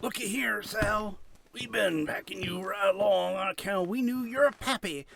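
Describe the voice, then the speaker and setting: A man's voice: high-pitched, normal speed, vocal fry, background noise. A man playing an opinionated cowpoke townsman in a comic western drama, talking in rustic, folksy style. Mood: concerned, griping. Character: opinionated male cowpoke townsman in the Old West.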